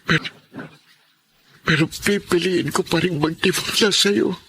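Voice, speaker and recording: An anguished Filipino husband in a radio drama, crying out to God. His voice is high and piercingly bright, stretched into theatrical, impassioned pleading. Clear noise and ambience sit behind him. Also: a little slow, heavily acted. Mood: weary pleading.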